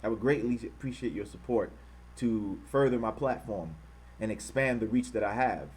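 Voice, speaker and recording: An American man hosting a live-streamed commentary, passionately hammering repeated rhetorical questions and pausing to thank viewers. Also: lowish voice, darkish tone, slightly rough. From United States. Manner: impassioned, repetitive rhetorical questions. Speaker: online channel host.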